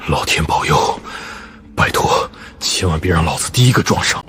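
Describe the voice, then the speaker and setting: Brash brawler, swinging lively pitch. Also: male voice, normal speed, a little hiss or hum, heavily acted. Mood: nervous grumbling. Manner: coarse, boastful. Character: brash, swaggering, foul-mouthed male brawler. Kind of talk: animated web drama acting.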